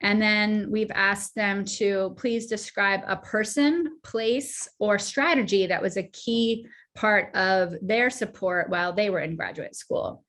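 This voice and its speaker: A woman moderating an online panel, friendly and often pausing with fillers. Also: medium pitch, fairly bright tone. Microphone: video call.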